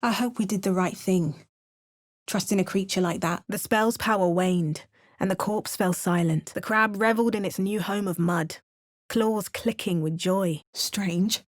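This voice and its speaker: A woman voicing a wry, watchful female adventurer for a video game. She makes understated remarks and narrates events calmly, like a storybook. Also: medium pitch, a little slow, no room sound, clean studio sound, lightly acted. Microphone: close studio mic.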